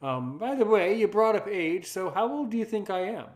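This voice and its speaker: Man, 30s, first language French, deep voice, earnest, reflective, casual conversation. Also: very animated, normal speed. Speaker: conversation partner. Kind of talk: casual chat.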